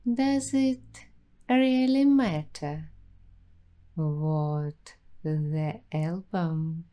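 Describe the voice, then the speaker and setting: A woman hosting an ASMR roleplay, talking softly and slipping into whispers now and then. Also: sits low, extremely slow. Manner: soft-spoken, slipping into whispers. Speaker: ASMR host.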